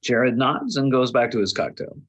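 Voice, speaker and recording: A man reads out screenplay action lines as the narrator of a table read. His voice is middling in pitch with a husky grain. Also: lively intonation, normal speed, fairly bright tone, dull audio. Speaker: narrator reading stage directions.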